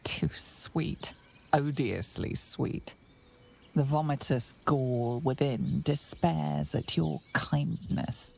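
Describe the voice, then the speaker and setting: A woman playing a sinister inner narrator of dark urges in a fantasy game, menacing and deliberate as she savours each phrase. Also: sits low, gravelly, slight background noise, full character voice. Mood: disgusted.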